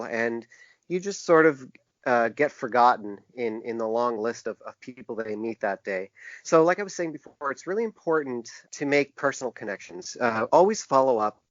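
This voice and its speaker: A man answering interview questions in a halting, earnest way. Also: mid-range voice, fairly bright tone, no echo. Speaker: interview guest; typographer and book designer. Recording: dull, narrow-band lo-fi sound.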